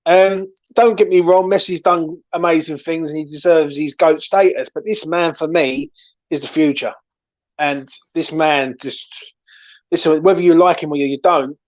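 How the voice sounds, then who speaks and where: Higher-pitched man, casual football host. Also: a little mellow, lo-fi sound. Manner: emphatic, opinionated, repeats himself for emphasis. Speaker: host of a football discussion show.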